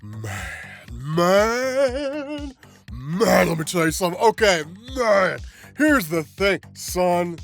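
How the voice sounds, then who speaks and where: Man, congested with a cold, nasal, high-pitched, excited casual commentary, keeps saying "man" and "right?". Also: slow pace, vocal fry, soft background music. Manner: enthusiastic, lots of "man" and "right?". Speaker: comics explainer host.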